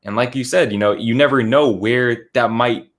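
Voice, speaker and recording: A man's voice: mid-range voice, moderate pace. Laid-back male stream host. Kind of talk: casual live-stream conversation.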